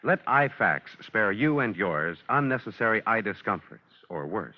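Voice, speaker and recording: An American man announcing formally in a mid-century radio style, with measured, emphatic delivery. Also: on the low side, sing-song. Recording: muffled vintage radio recording with music.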